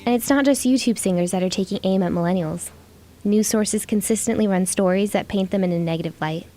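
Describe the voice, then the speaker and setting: Mellow American woman narrating a report. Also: medium pitch, a little fast, faint music behind. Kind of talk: scripted narration.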